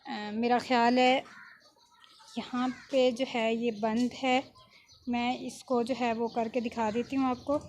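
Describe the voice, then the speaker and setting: High-voiced woman tutor, noisy recording. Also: level tone, slow, darkish tone. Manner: informal, with repeated check-in tags. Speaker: video editing tutor. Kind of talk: step-by-step software tutorial narration.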